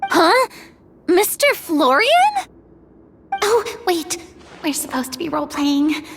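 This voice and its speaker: A woman voicing a tiny childlike fairy companion, excitable and easily startled, in an anime video game. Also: very high voice, slow, noisy background, heavily acted. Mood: unsure. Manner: refers to self by name, gasps and stammers.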